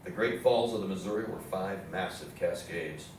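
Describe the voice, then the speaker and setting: Echoey, low-voiced man narrating history. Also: moderate pace. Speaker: narrator in a readers theatre play. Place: indoor theatre room with audience program. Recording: echoey hall, distant mic, faint noise.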